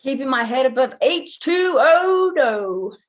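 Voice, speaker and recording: Woman playing sardonic, lively bar-owner mother. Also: sits high, takes it slowly, slight background noise. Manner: blunt, irreverent. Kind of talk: acting in a table read.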